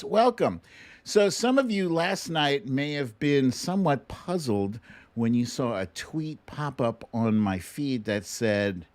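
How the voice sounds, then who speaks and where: Slow-paced American man hosting a livestream. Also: medium pitch, no room sound. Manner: informal, playful, chatty. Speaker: livestream host. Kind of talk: casual live chat with viewers. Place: home studio.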